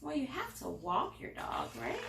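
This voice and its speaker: A woman's voice: high voice, huge pitch swings, normal speed, creaky, sharp tone, a little hiss or hum. A woman reading a picture book aloud to children, expressively and with little asides to the listeners. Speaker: library storytime reader. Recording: roomy, echoing indoor sound.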